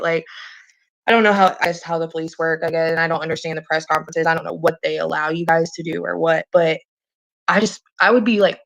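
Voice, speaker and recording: A woman's voice: lowish voice, bright, forceful tone. A woman in a casual, earnest phone conversation, rambling and hesitant, often repeating herself and swearing casually. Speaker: caller in a private phone call.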